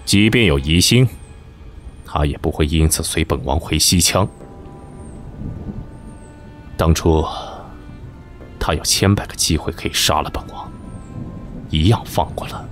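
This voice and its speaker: Man acting a deep-voiced, haughty king. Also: a little slow, pauses often, soft background music. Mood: haughty, probing. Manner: haughty, commanding, curt. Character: imperious young male king of an ancient kingdom. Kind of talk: Chinese costume radio drama acting.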